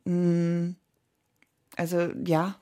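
A woman, an actress whose first language is Italian, answering interview questions in a reflective, searching way with frequent hums and soft laughs. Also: fairly low pitch, monotone, extremely slow, clear, resonant tone. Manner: thoughtful, searching, with many hums and small laughs.

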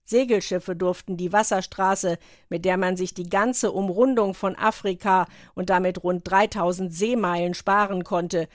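A woman reads a scripted radio feature as its correspondent. Her voice sits at a medium pitch and is recorded very dry. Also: dry recording.

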